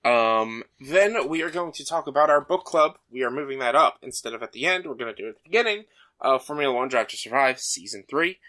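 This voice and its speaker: A man's voice: mid-range voice, expressive, fairly quick, sharp tone. A man co-hosting a podcast, chatting loosely with lots of fillers and running through the night's agenda. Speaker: podcast co-host. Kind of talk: casual chat.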